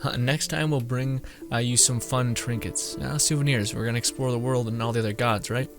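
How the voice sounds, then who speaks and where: A young man streams a game with a medium-pitched voice. His commentary is casual, rambling and halting, with faint music playing behind him. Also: fairly even intonation. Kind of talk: casual live gameplay commentary.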